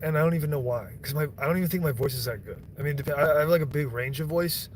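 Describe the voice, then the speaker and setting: A man's voice: a little high, racing. A young man on a stream, rambling and swearing in casual chat, often breaking off and restarting his sentences. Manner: rambling, profane, self-interrupting.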